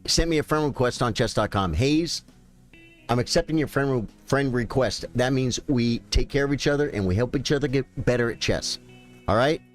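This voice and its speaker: A man's voice: medium pitch, normal speed, faint music behind. A man in his late fifties, a chess streamer chatting casually and folksily with his viewers. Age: 50s. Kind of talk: casual live-stream chat.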